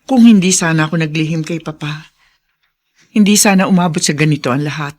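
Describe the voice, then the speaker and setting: A woman's voice: low voice, a little fast, a little mellow. An actress playing a sorrowful, contrite middle-aged mother in a Filipino radio drama, confessing with earnest melodrama.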